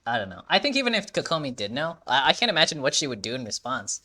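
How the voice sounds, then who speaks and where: A man's voice: medium pitch, big pitch swings, fast, pushed and bright. Chatty man commenting on his game. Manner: rambling, chatty, swears casually. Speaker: game streamer. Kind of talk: casual gameplay commentary.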